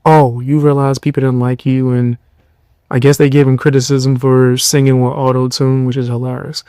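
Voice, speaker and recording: A tired young man hosting a podcast, giving blunt, mocking commentary in loose slang with a low voice. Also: level tone, normal speed, warm tone, clean tone, no room sound, studio quality. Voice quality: lower voice. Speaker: podcast host. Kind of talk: casual commentary.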